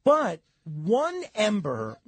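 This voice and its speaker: A man talking on the radio in a hesitant, halting, earnest conversational way. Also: sits high, wildly swooping pitch, a bit bright, husky, no echo. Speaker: radio host.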